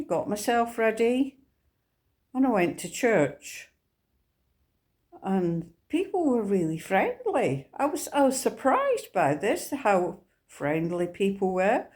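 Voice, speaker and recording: Slow-spoken Scottish woman sharing testimony. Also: mid-range voice, sing-song, darkish tone. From Scotland. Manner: earnest, unhurried storytelling. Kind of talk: personal faith testimony.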